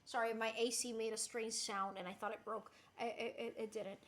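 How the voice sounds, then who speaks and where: A woman's voice: fairly high pitch, normal speed, reverberant. A woman with a slight, Americanized Puerto Rican accent and Spanish as her first language, chatting casually and playfully as a livestreamer. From Puerto Rico. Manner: playful, expressive streamer delivery, switches into Spanish. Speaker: livestreamer reading and answering chat.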